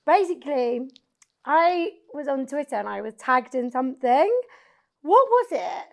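A woman's voice: high voice, big pitch swings, unhurried. A young woman hosting a podcast, chatting casually, swearing and laughing often. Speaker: podcast host. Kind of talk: casual chat.

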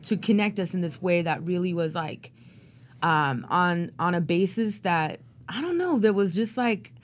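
A woman with a somewhat low voice speaks as a guest on an interview podcast. She is an actor and playwright who talks in a rambling, reflective way. Her speech is full of fillers. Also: animated, a little slow. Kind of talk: interview conversation.

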